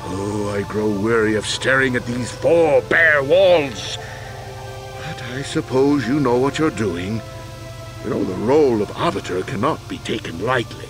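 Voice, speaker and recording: An actor playing a proud, weary old war veteran accused of a crime, earnest and deliberate, in a video game. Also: male voice, mid-range voice, music in the background, close to an everyday voice, rough recording. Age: older.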